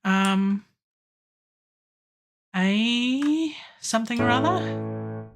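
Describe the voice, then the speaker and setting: A middle-aged woman with a hoarse, sore throat, thinking aloud as she works on a song at home, with lots of ums and pauses. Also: a little high, lively intonation. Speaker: music producer streaming a songwriting session. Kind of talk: casual live-stream talk while working. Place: home studio, indoors. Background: faint music playback from the session.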